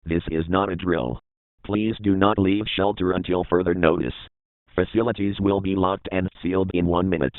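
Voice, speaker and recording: A tinny, phone-like recording of a man playing a stern emergency broadcast announcer. His voice is low, formal and measured, reading a scripted alert with no room sound. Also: steady tone, no echo, lightly acted. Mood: stern warning. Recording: thin phone-like band-limited sound.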